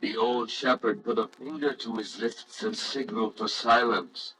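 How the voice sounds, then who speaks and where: A man narrating a storybook radio drama in a solemn, reverent, measured way, with faint noise in the recording. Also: lowish voice, fairly even intonation, fairly bright tone, poor audio.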